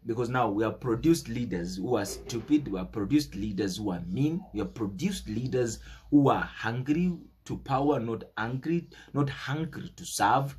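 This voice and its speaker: A man's voice: mid-range voice, lively intonation, normal speed, darkish tone, breathy edge. A young man with a Kenyan accent giving an emphatic, preachy unscripted political monologue, stressing words and repeating phrases. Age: young adult. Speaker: political commentator.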